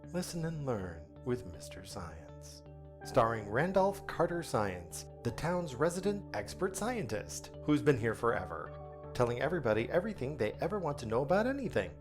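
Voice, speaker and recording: A man's voice: mid-range voice, lightly acted. A man playing an exasperated, sarcastic small-town radio host in a comic audio drama, theatrical and grumbling. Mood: smooth announcer.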